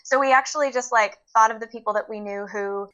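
A woman's voice: a little high, a little fast, fairly bright tone, dull audio. A woman speaking as a guest in a casual interview, chatty and emphatic in the way she talks. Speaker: guest, audio play producer.

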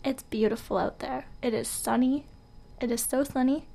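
A woman co-hosting a casual radio show with deadpan, mock-serious humor. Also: high voice, moderate pace, vocal fry.

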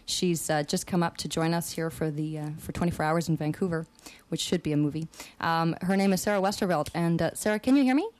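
A woman's voice: sits low, fast talker, darkish tone, dry recording. A woman hosting a radio interview, hesitant, with many ums and restarts. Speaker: radio show host.

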